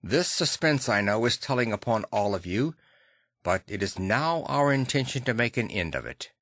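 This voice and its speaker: A man's voice: medium pitch, big pitch swings, normal speed, a bit bright, dry recording. A man voicing a grave, commanding Victorian lawyer in an audiobook, formal and decisive.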